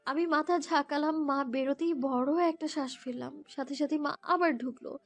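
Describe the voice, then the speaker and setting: A woman's very high, girlish voice, recorded dry and close with soft music underneath. She narrates a romantic story in the first person, expressively, as the lead character. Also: moderate pace, no echo. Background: background music.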